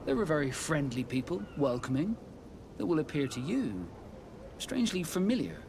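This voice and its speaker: Proud seafaring captain, measured and formal. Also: male voice, a little high, sing-song, background noise. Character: proud seasoned male sea captain of a sailors' guild. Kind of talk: scripted video game dialogue.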